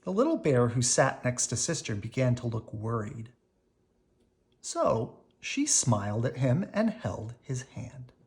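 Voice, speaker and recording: A woman reading a children's storybook aloud in an animated, unhurried way for young listeners. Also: very deep voice. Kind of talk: expressive read-aloud storytelling.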